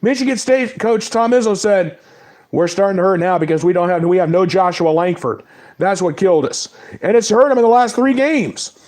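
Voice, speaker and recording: A man with a cold giving a casual spoken recap of basketball results, rattling off stats in quick lists. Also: sits high, darkish tone. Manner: reads stats in quick lists, apologizes for mispronouncing names. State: has a cold. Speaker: sports talk host. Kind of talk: casual sports recap monologue.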